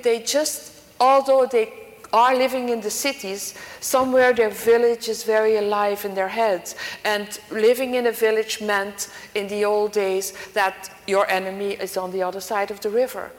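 A European woman author answering a question on a panel, speaking deliberately with non-native phrasing. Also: on the high side, steady tone. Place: lecture hall. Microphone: stage microphone. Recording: long hall reverb.

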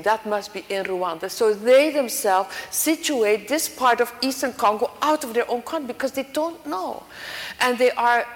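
A European woman author answering a question on a panel, speaking deliberately with non-native phrasing. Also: mid-range voice. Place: lecture hall. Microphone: stage microphone. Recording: long hall reverb.

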